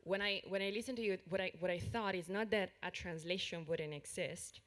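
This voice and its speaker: A woman with a fairly low voice shares thoughts on a panel. She talks tentatively, hedging as she works through her ideas. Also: steady tone, fairly quick, fairly bright tone. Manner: tentative, musing. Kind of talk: panel discussion.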